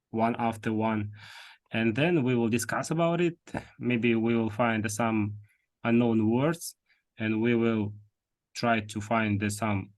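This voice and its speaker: A man hosting an online English speaking club, hesitant, with many fillers and restarts over a video call. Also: mid-range voice. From Russia. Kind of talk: casual online club meeting.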